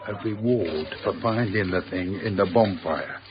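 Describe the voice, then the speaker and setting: An actor playing an earthy, evasive middle-aged Yorkshire chip-shop owner in a radio drama, blunt and teasing. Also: male voice, deep voice, moderate pace, dull audio, noisy background, lightly acted. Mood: matey, blunt.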